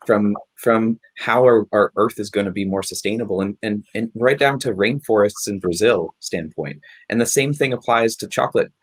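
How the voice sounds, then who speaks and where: A man speaks on a panel in a halting way, tripping over and repeating his words. His voice sits somewhat low. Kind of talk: panel discussion.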